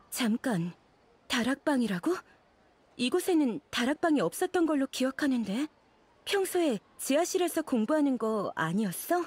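A woman's voice: a little high, a little mellow, husky, exaggerated voice. A woman playing an anxious, guilt-ridden scholar who speaks in a guarded, urgent way in a game drama. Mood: probing.

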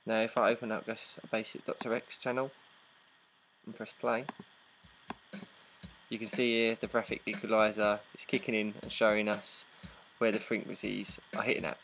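A man presenting a casual software tutorial in an informal, halting step-by-step way. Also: fairly low pitch, little pitch movement, faint music behind, low-quality recording. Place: indoors, voice-over on a screen recording.

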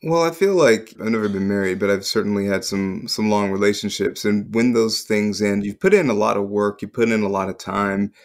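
A man's voice: fairly low pitch, fairly quick, few pauses. A man speaking as an actor guest in casual, reflective conversation.

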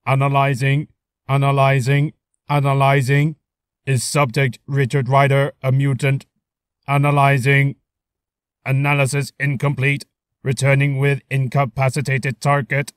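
A man's voice: medium pitch, little pitch movement, a little slow, smooth, dry recording, clean studio sound, natural voice. A man voicing a cold, methodical combat robot in clipped mechanical announcements for a voice reel. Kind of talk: character voice reel.

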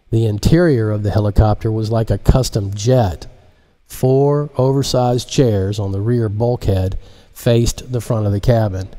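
Low, mellow man reading an audiobook. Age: 50s. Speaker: author reading his audiobook. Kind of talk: scripted narration, audiobook reading. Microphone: large studio microphone close in front.

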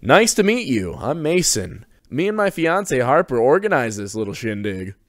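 A man's voice: mid-range voice, very animated, moderate pace, dry recording, studio quality, pitched above the performer's own voice, natural voice. A man voicing a cheerful, welcoming young male host, exuberant and polite.

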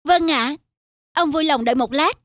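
Woman acting high-voiced officious bank teller. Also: normal speed, background noise, close to an everyday voice. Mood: apologetic, deferential. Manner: formal, clipped customer-service politeness. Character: officious young female bank teller, dismissive then deferential. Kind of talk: cartoon dubbing. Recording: music under the voice.

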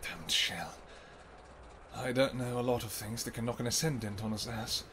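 A man voicing an ancient, protective vampire king in an audio roleplay, who shouts urgent commands and then turns to low, tender murmuring. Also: moderate pace, a little hiss or hum, natural voice. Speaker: voice actor.

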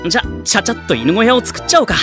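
A gentle teenage boy hero in an anime audio drama. His delivery is casual and swings in pitch, with clear music behind. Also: sing-song, rapid-fire. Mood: easygoing. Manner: casual, gentle, good-natured. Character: easygoing, kind teenage boy master, mildly henpecked.

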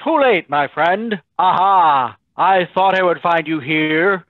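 A man's voice: a little high, slow pace, no room sound. A man playing a menacing, gloating nobleman villain with theatrical delivery in an old-style radio play. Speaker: actor. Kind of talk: 1930s radio acting. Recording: dry, tinny phone-like audio.

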